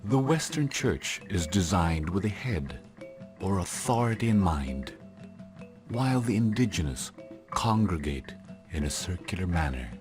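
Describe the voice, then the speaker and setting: Deep-voiced man narrating a documentary. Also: expressive. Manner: measured, deliberate delivery. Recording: narration over clear background music. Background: instrumental music.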